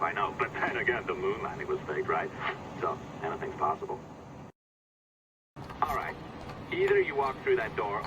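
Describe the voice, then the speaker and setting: An actor playing a volatile, sardonic hacker and blackmailer in a video game, menacing and mock-friendly. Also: male voice, medium pitch, lo-fi sound, background noise, natural voice. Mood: sly, bossy, then threatening. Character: sly, volatile male conspiracy-minded hacker and blackmailer. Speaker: hacker accomplice giving orders over an earpiece.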